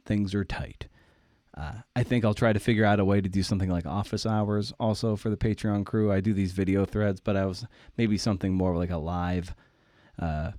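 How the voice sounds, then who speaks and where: A man's voice: on the low side, a little mellow, no echo, clean studio sound. A man hosting a podcast, chatting casually through an introduction in an irreverent, slangy, self-deprecating way. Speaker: podcast host.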